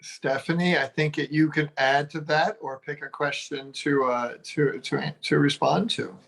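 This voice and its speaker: A man speaking on a webinar panel about careers in diplomacy, halting and often restarting his sentences. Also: fairly high pitch, steady tone, creaky, fairly bright tone, poor audio.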